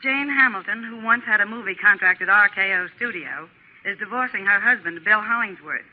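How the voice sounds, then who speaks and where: A woman plays a brisk American lady gossip columnist of 1940s radio, chatty and knowing. The sound is narrow, as from an old broadcast. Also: medium pitch, close to an everyday voice. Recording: band-limited 1940s radio recording.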